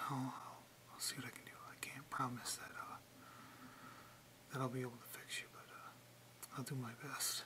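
A man whispering softly and breathily in an ASMR roleplay, hesitant and muttering to himself as he works as a mechanic. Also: medium pitch, little pitch movement, poor audio. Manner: slow, hesitant, muttering to himself while working. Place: indoor room, close to camera.

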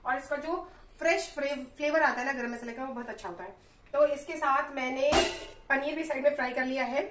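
Woman in her thirties, chatty cooking vlogger, higher voice, roomy off-mic kitchen sound. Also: a little fast, distant mic, noisy background. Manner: chatty, explains each step while cooking. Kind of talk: casual cooking walkthrough. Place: small home kitchen.

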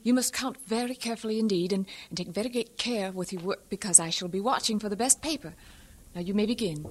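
An actress playing a gentle, careful lady schoolteacher in an audio drama. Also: mid-range voice, quick pace, noisy background, lightly acted. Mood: instructing. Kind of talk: dramatised radio-play acting.

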